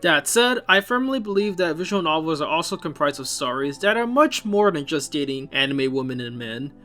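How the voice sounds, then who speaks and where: A man with a fairly high voice hosts a scripted video essay. He sounds opinionated, slipping in wry asides as he narrates. Music plays faintly underneath his voice. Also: very animated, a little fast, bright, forceful tone. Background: background music.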